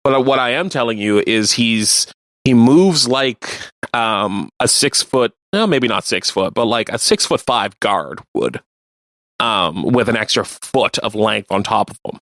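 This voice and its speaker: A man co-hosting a radio show, chatting casually about sports and explaining things in a halting way. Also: on the low side, lively intonation, a bit bright, no room sound, clean studio sound. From Canada. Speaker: radio co-host.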